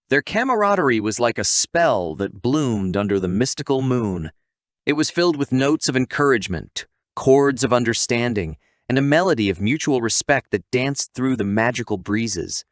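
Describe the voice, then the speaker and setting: A man's voice: medium pitch, no echo, studio quality. A man narrating a scripted story recap in a playful, theatrical way, addressing the audience like a storyteller.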